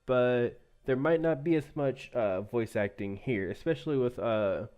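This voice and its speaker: A young man with a deep voice reading a visual novel aloud and breaking off into playful asides. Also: unhurried, a little mellow. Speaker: gaming YouTuber.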